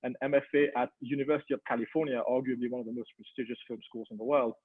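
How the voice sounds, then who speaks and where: A man's voice: medium pitch, steady tone, racing. A man moderating a panel webinar over a video call, chatty and personal, with frequent ums. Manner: conversational, many ums, warm personal asides. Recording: tinny phone-like audio.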